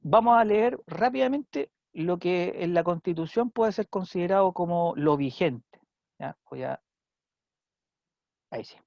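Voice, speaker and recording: A man's voice: high-pitched, lively intonation, measured pace, vocal fry, a little mellow, no room sound, highs cut off. A male teacher with a Chilean Spanish accent giving an online civics class, chatty and checking in often with his students. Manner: conversational, checks in with frequent "¿ya?".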